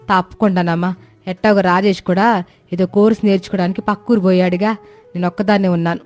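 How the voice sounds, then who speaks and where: A woman's voice: mid-range voice, steady tone, fast, a little mellow, faint music behind, natural voice. A woman voicing a self-sacrificing middle-aged village mother in a cartoon story, with motherly, rustic colloquial speech. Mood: warm, chatty.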